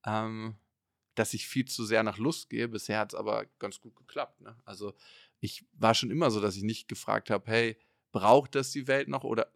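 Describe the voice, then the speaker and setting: A man in a relaxed podcast conversation, talking in long loose trains of thought with many fillers. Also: lowish voice, vocal fry, dry recording, clean studio sound. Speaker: podcast guest and entrepreneur.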